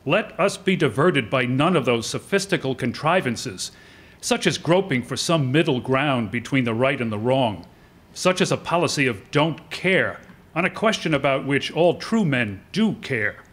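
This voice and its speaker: A man's voice: medium pitch, lively intonation, normal speed, a bit bright. An older man playing a principled, resolute statesman delivering a political oration in a staged radio-play reading. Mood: earnest, resolute. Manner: rhetorical, oratorical. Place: indoor hall with seated audience. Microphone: lectern microphone.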